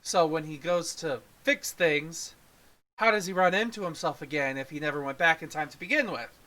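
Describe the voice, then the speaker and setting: Bright, higher-voiced man chatting casually. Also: normal speed. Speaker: podcast co-host reviewer. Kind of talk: casual film review chat.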